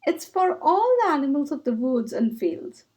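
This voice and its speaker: A woman's voice: high voice, very animated, moderate pace. A woman voicing a kindly, generous male mole welcoming everyone in a children's storybook. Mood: gentle pride. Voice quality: soft.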